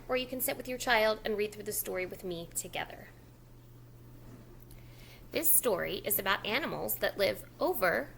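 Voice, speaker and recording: Animated woman reading aloud to preschoolers. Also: on the high side, gravelly, slight background noise. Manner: instructional, addressing young children, repeating key words with prompts and praise. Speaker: preschool educator reading a picture book to children. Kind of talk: children's read-aloud lesson with call-and-response prompts.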